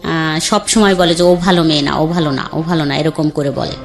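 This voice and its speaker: A woman's voice: low voice, fairly even intonation, normal speed. A woman in her thirties with a Bangladeshi colloquial accent, plainly telling her life story and acting out what others said. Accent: Bangladeshi colloquial Bengali. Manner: plain conversational, acts out quoted dialogue. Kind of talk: candid storytelling. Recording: faint background music.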